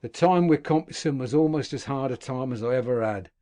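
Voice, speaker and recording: A man voicing a rough old male convict who tells his past in earthy dialect speech. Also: mid-range voice, brisk, mellow, close to an everyday voice. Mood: grim recounting. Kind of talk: audiobook character reading.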